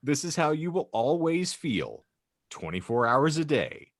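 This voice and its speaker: A man's voice: a little high, big pitch swings, a little slow, no echo, clean studio sound. A man hosting a casual comedy podcast with mock-grandiose, wordy phrasing. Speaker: podcast host.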